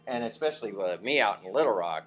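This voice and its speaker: A man joining a casual chat, cutting in with animated, jokey remarks. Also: lowish voice, fast talker, soft background music.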